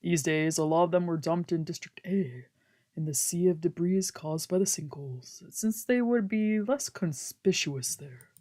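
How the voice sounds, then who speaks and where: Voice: medium pitch, normal speed, mellow. A streamer reading a visual novel's narration aloud, occasionally stumbling over a word. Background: background music from a video game.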